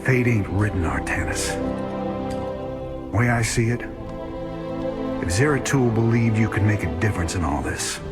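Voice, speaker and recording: A man voicing a weary human commander, gruff and drawling, speaking in short laconic phrases. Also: lowish voice, lively intonation, moderate pace, long pauses, close to an everyday voice. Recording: game cutscene with loud music.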